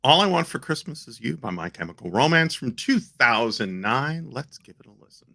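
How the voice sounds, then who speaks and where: A man's voice: mid-range voice, big pitch swings, moderate pace, creaky, bright, forceful tone, dry recording, studio quality. An American man co-hosting a podcast, chatting casually about music with wry, joking asides. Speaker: podcast co-host.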